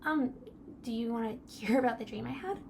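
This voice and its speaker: Woman doing a shy soft-spoken teen girl, kinda high voice, hesitant, noisy ambient background. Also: fairly quick, lightly acted. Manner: soft, hesitant, self-doubting, stammers. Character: timid, gentle teenage girl from strict religious family. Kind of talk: audio drama acting.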